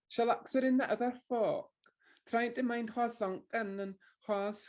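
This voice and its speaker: Gravelly-voiced woman poet with Orkney accent. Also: medium pitch. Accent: Orkney Scots. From Orkney, Scotland. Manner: thoughtful, halting, many fillers and restarts. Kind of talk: poetry reading with introduction.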